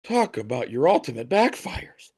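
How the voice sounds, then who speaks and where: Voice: medium pitch, big pitch swings, moderate pace, a little mellow, slightly rough. An actor in an audio play voicing an anxious, exasperated hotel guest who sputters and hesitates wryly.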